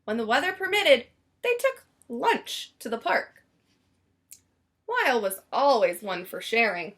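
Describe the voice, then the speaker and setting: Female storybook narrator, high-pitched and very melodic, expressive, leans on key words. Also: a little slow. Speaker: storybook reader. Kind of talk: expressive children's read-aloud narration.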